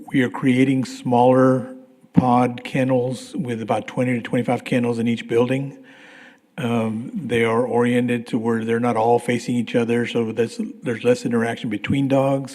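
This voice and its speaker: A man giving a construction update at a public meeting, hoarse and clearing his throat, talking in a plain, halting way with many restarts. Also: medium pitch, a little mellow. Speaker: construction manager. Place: council meeting room. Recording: livestream from a reverberant meeting room.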